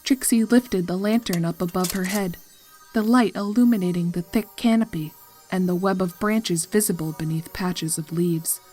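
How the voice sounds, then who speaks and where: Female narrator for horror audio fiction, lowish voice, light music bed. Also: normal speed, dark tone, rough recording.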